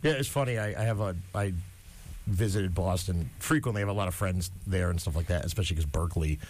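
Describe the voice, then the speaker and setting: A man's voice: deep voice, fast, a little mellow, a little hiss or hum. A young man hosting a college radio interview, chatty and joking, rambling with fillers. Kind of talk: casual interview.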